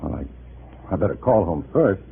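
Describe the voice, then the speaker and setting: An actor playing a nervous, hesitant undercover agent posing as a loyal comrade in a 1950s radio drama. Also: male voice, sits low, very animated, unhurried, creaky, breathy edge, exaggerated voice. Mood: guarded, evasive. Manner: hesitant, stammering under pressure. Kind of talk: 1950s radio drama acting with first-person narration. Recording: tinny, noisy old radio recording.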